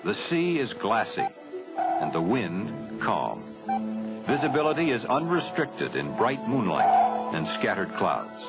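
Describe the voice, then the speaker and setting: A man's voice: on the low side, big pitch swings, over loud music. Formal male narrator on an old film soundtrack. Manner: formal, measured, matter-of-fact. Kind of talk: scripted training film narration. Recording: dull 1970s film soundtrack.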